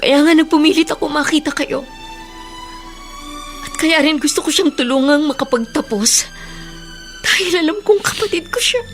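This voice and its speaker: Woman acting high-voiced, emphatic wronged elder sister. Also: moderate pace, long pauses, soft background music, lightly acted. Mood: earnest. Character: wealthy long-lost elder sister, hurt, insistent, protective. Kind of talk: Filipino radio drama acting.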